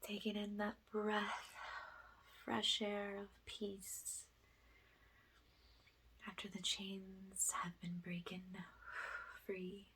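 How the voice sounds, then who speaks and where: A woman leading an ASMR guided spiritual healing session, speaking softly and breathily, often in a whisper, with slow, gentle, soothing guidance. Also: mid-range voice, completely flat delivery, a little hiss or hum. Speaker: ASMR energy healer, host.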